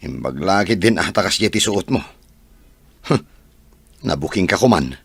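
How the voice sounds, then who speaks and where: A man's voice: low voice, animated, normal speed, vocal fry, husky, no room sound, heavily acted. A man playing a shrewd boss in an Ilocano comedy radio drama, probing with questions. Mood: knowing.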